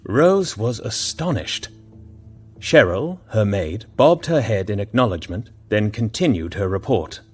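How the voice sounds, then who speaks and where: A man's voice: lowish voice, lively intonation, measured pace, a little mellow, breathy edge, faint music behind. A man narrating a scripted audio drama as a dramatic storyteller.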